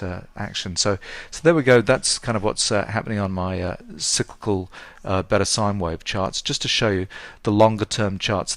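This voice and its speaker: A hoarse man with a sore throat walking through trading charts in a halting lesson, full of fillers and hedges. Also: low voice, no room sound. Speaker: market analyst.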